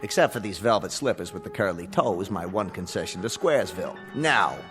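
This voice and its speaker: An actor playing a brash, grumpy, streetwise genie who wisecracks in dated hipster slang in a radio drama. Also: male voice, low-pitched, expressive. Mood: breezy, grumbling. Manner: streetwise, fast-quipping, dismissive. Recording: long reverb, faint music behind.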